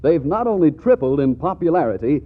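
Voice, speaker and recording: Animated old-time radio announcer, male. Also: a little fast. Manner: polished sales pitch. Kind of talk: scripted 1940s radio commercial announcing. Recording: tinny 1940s radio broadcast, faint music.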